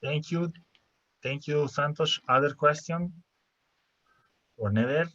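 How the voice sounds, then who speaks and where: A man's voice: a little high, unhurried, a bit bright. A man moderating an online hackathon session, introducing presenters and calling for questions. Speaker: event moderator. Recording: dry muffled call audio.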